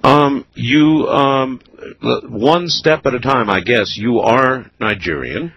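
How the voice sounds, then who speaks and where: A man hosting a radio call-in show, speaking in a slow, deliberate, measured way with pauses. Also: medium pitch, fairly bright tone, breathy edge, poor audio. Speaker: radio talk show host. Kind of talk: late-night radio call-in interview.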